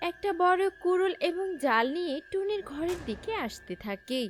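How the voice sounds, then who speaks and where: A woman's voice: very high voice, expressive, moderate pace, slight background noise. A woman narrating a scripted cartoon story, with music playing behind her.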